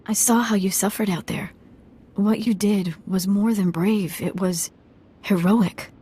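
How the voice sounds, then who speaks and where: An actress playing a dignified village matriarch in a video game, earnest, measured and formal. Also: female voice, medium pitch, fairly even intonation, warm tone, husky. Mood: grateful, earnest. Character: solemn, dignified village matriarch, grieving yet resolute. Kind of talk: video game drama acting.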